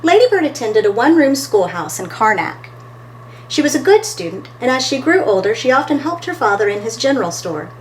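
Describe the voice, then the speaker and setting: A woman's voice: high-pitched, big pitch swings, fairly quick, slight background noise, rough recording. A woman reading a picture book aloud as a storytime host, expressively and talking to her listeners between pages.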